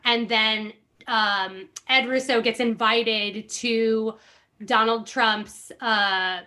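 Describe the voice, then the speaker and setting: A woman's voice: fairly high pitch, fairly even intonation, drawn-out words, bright, forceful tone. A woman hosting a casual podcast, chatting and reading a book aloud to her guests with an amused, conversational manner full of likes and you-knows.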